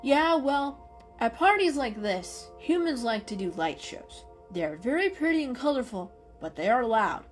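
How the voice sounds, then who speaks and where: A woman's voice: fairly high pitch, very animated, moderate pace, soft background music, pitched above the performer's own voice. A woman voicing a friendly, reassuring grown male cat who chats and explains kindly in a storybook reading. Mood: cheerful, helpful.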